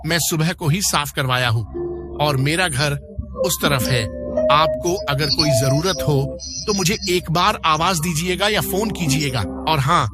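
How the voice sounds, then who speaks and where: A man's voice: fairly high pitch, unhurried, loud music behind the voice, lightly acted. A man playing a middle-aged, obliging village caretaker in a horror story, talkative and deferential, repeating his words. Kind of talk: acted audio horror story dialogue.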